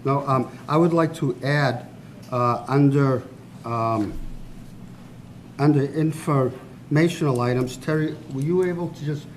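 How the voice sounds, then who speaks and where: Halting man chairing a board meeting. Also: medium pitch. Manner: halting, many uhs and restarts. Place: school board meeting room. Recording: noisy meeting-room recording.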